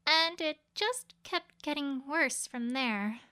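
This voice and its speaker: A woman's voice: high-pitched, lively intonation, takes it slowly, pushed and bright, exaggerated voice. A woman voicing an anxious, earnest young female unicorn in a fan audio story, guilty and emotive. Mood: uneasy. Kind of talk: acted audio story dialogue.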